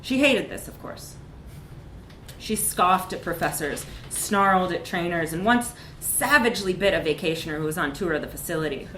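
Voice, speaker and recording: A woman's voice: fairly low pitch, expressive, moderate pace, fairly bright tone, roomy sound, a little hiss or hum. A woman reads a comic short story aloud at a live event, with wry, emphatic narration. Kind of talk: animated literary narration, live reading.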